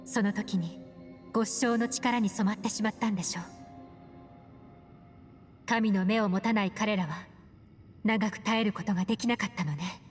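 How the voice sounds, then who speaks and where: A woman playing a composed, serious adult investigator in a video game, speaking in a measured way. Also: mid-range voice, big-room sound, natural voice. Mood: composed, grave. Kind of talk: Japanese video game voice acting. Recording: music behind.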